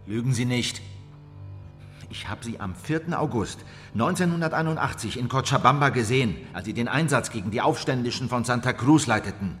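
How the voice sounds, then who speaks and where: An actor playing a stern, accusing government interrogator in a radio drama, hard, pressing and commanding. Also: male voice, medium pitch, animated, brisk, fairly bright tone, soft background music, natural voice. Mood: accusing, insistent. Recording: reverberant interrogation room.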